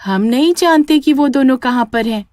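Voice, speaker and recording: A woman's voice: sits high, moderate pace, a little mellow, no room sound, clean studio sound, pitched above the performer's own voice, close to an everyday voice. A woman voicing an innocent, curious village child in a cartoon story, with an earnest manner. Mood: puzzled, earnest.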